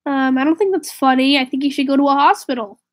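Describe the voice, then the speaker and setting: A woman live streaming and chatting casually with viewers, slightly raspy with a stuffy nose from a cold, rambling and correcting herself. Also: sits high, quick pace. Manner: rambling, self-correcting, drifts to a whisper.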